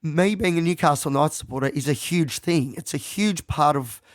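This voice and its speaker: A young Australian man talks through his podcast while fighting a head cold, his voice croaky and nasal. He sits a little high for a man and rambles along with plenty of ums and self-deprecating asides. The sound is dry and close. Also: moderate pace, no echo. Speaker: podcast host. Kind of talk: casual solo podcast monologue.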